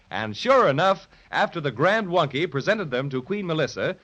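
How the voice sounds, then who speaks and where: A man narrating a 1930s children's radio serial in a measured storytelling manner. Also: a little high, a bit bright. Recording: dull lo-fi 1930s radio recording.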